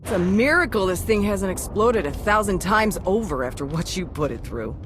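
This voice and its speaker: An actress playing a cocky, wisecracking young mechanic in a video game, breezy and sarcastic. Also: female voice, mid-range voice, animated, normal speed, a little hiss or hum, natural voice. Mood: teasing, smug.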